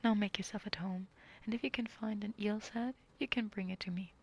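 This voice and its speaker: A woman's voice: medium pitch, fairly even intonation, brisk, slightly rough. Whispering woman reading a storybook. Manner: soft whisper, stops to apologize and laugh. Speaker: reader.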